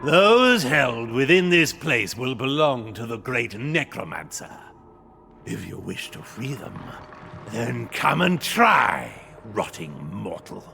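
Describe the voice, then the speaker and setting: Creaking, imperious undead spirit commander. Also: male voice, medium pitch, big pitch swings, exaggerated voice. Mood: menacing, imperious. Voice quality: gravelly, creaking, hissing. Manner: slow, grandiose, menacing, swinging from whisper to shout. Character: imperious undead Nighthaunt spirit commander, cruel, disgusted by the living. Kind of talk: theatrical video game cutscene acting.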